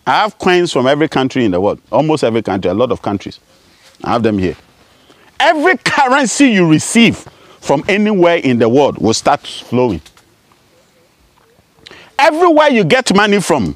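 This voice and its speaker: A man's voice: a little high, no echo. A man in his forties from Ghana, a spiritualist, speaking outdoors to a camera in a declamatory, accusing address, often tossing in "huh?". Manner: declamatory, rising and falling sharply, repeating "huh?". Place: outdoors among bushes.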